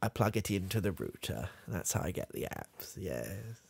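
A man's voice: low voice, big pitch swings, normal speed, vocal fry, breathy edge, heavily acted. A man doing a muddled, proud British grandad. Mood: rambling. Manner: rambling, hesitant, full of fillers and restarts. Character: muddled, proud old grandad, clueless with technology. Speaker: ASMR comedy creator. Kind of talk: comedy ASMR roleplay. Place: small dim room with coloured lights. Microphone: close mic, face near camera.

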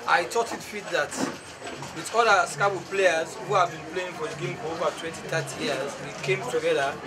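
A middle-aged man with a Sierra Leonean accent, answering interview questions in halting, deliberate phrases. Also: on the high side, steady tone, rough recording. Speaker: sports association official being interviewed. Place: outdoor press conference in town. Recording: busy outdoor crowd noise behind.

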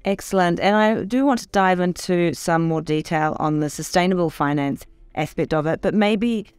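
Woman host, thirties, polished, upbeat, smooth clear voice, dry studio. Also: fairly low pitch, moderate pace, a little mellow, no echo, soft background music. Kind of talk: scripted introduction and interview questions. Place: studio set with city backdrop. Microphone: desk microphone.

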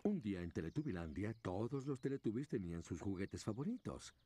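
A man's voice: fairly low pitch, animated, fairly quick, warm tone, husky, dry recording. A man narrating a scripted story for small children, in storybook style. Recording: children's show music behind the voice.

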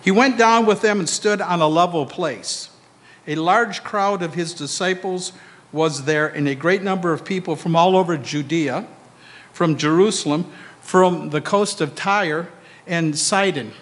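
A man's voice: sits high, fairly bright tone. Older man reading scripture, echoey hall. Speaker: scripture reader at a church service. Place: church sanctuary, indoors. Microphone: microphone on a stand. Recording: echoey church hall reverb.

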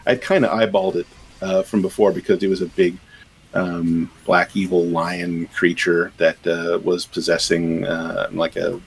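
A man's voice: low-pitched, little pitch movement, measured pace, vocal fry, a little hiss or hum, low-quality recording. A middle-aged man, a game composer and sound designer, talking casually on a video call with many fillers and restarts. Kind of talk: casual podcast interview.

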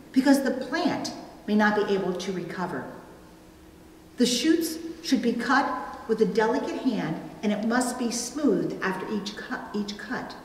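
A middle-aged woman delivering a scripted sermon in measured, careful phrases. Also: on the high side. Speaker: preacher. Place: church sanctuary at a wooden pulpit, indoors. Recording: very echoey hall, distant mic, faint noise.

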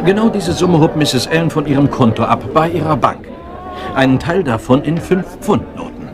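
Lively-voiced, probing police inspector. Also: male voice, fairly high pitch, moderate pace, a crowd in the background. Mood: pressing, sceptical. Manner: courteous, methodical, dryly insistent questioning. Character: polite, probing male Scotland Yard chief inspector. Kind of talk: German crime radio play acting.

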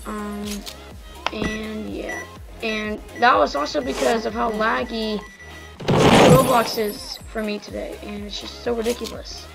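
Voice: mid-range voice, fairly even intonation, measured pace, vocal fry, rough recording. An eleven-year-old child giving casual, rambling gameplay commentary in a slightly strained, slangy voice. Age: child, almost twelve. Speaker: gaming video host. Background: background music.

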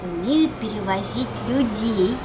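A woman narrating an educational children's cartoon, speaking in simple, deliberate words and short phrases. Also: mid-range voice, background noise. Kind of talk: scripted narration teaching words and counting.

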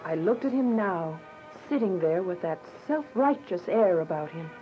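A woman's voice: fairly low pitch, animated, moderate pace, natural voice. A woman playing a devout, earnest young believer, narrating with emotion in a radio drama. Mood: grave, hurt. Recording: muffled audio with music bed.

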